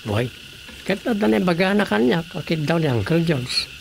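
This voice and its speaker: A man's voice: fairly high pitch, sing-song, moderate pace, vocal fry, a little hiss or hum. An actor playing a good-natured Filipino family man in a radio drama, with an Ilocano accent. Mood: matter-of-fact.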